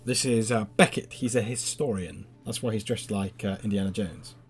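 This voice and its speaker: A man's voice: fairly low pitch, fairly even intonation, normal speed, faint music behind. A man giving casual, joking commentary as he plays and explains a video game, with a wry, playful manner. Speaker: gaming channel player and guide.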